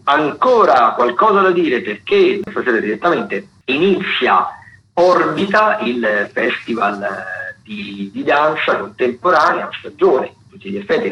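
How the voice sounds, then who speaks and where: A man's voice: medium pitch, very animated, moderate pace. Male radio host, dull noisy sound. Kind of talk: unscripted radio rundown of theatre listings.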